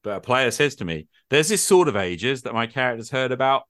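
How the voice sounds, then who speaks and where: A man's voice: medium pitch, animated, brisk, a bit bright, dry recording, clean studio sound. A middle-aged man in a casual, extended discussion, explaining his thinking and often checking in with short tag questions. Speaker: podcast host and game master.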